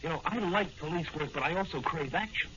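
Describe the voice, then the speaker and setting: A man's voice: a little high, brisk, close to an everyday voice. An actor playing an eager, brave young rookie patrolman and secret crimefighter in a 1940s radio drama style. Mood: earnest, restless. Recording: muffled, noisy old-time radio recording.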